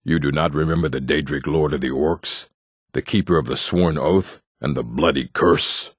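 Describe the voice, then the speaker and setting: An actor playing a gruff, proud orc in a fantasy video game, blunt and stern, with a deep, husky, gravelly voice. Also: male voice, normal speed, no room sound, clean studio sound. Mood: proud, solemn. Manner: blunt, stern, terse, solemn. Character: gruff, proud, hostile male orc of a stronghold.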